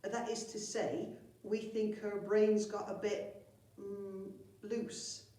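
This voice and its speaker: A woman voicing a cautious goblin underling in a children's storybook, with a careful, halting delivery. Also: mid-range voice, little pitch movement, dark tone, lightly acted. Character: cautious, hesitant goblin underling reporting to his boss. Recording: very echoey room, far from mic.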